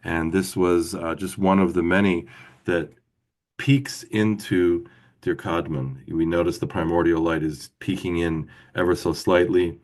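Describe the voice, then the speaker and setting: A man's voice: sits low, steady tone, a little slow. A man hosting an online book celebration over a video call, effusive and full of praise. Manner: effusive, warm praise with fillers. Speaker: host. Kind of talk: online event introduction.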